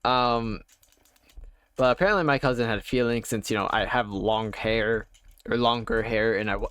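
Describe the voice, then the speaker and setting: A young adult man telling a personal story while playing a game, halting and wry, full of fillers and restarts. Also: medium pitch, fairly bright tone, smooth, dry recording. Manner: wry, self-deprecating. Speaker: gaming YouTuber. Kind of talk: casual storytelling over gameplay.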